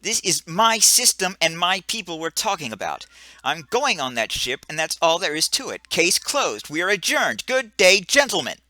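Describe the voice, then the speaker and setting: A man doing a shrill haughty female ambassador. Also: big pitch swings, normal speed, pushed and bright, no room sound, heavily acted. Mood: indignant. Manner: insistent, haughty.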